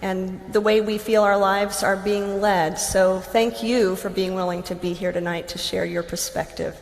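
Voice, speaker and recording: A woman interviewer giving a formal, earnest, halting welcome at a public event, recorded in a big hall. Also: mid-range voice, level tone, soft background music. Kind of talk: earnest, measured welcome address. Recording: noisy, reverberant hall recording.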